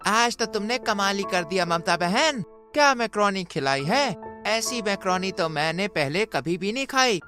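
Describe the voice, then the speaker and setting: A woman's voice: mid-range voice, animated, normal speed, a bit bright, soft background music, pitched below the performer's own voice, close to an everyday voice. A woman voicing a satisfied, appreciative village customer in a cartoon story. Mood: delighted.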